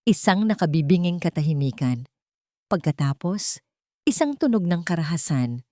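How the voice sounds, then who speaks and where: Low-pitched woman narrating drama. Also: big pitch swings, normal speed, dark tone, dry recording, clean studio sound. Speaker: audiobook narrator.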